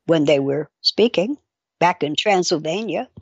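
A woman's voice: lowish voice, expressive, a little slow, husky, dry recording, highs cut off. An older woman with a wry, digressive manner, casually explaining and reading aloud as a guest expert. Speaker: psychologist guest.

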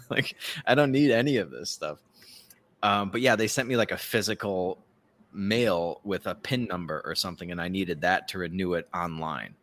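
A man's voice: sits low, normal speed, a bit bright. A man co-hosting a podcast in casual chat, with a sardonic, sweary way of talking. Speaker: podcast co-host.

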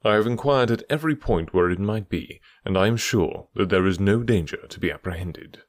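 Man doing a cool detective, low voice, dry, unhurried, close sound. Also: no echo, lightly acted. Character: calm, cool male detective.

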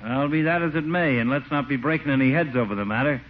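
A man's voice: medium pitch, fast talker, natural voice. An actor playing a wisecracking, sociable small-town man in an old radio comedy, folksy and wry. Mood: jovial. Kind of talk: 1940s radio sitcom acting. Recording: muffled, noisy old radio recording.

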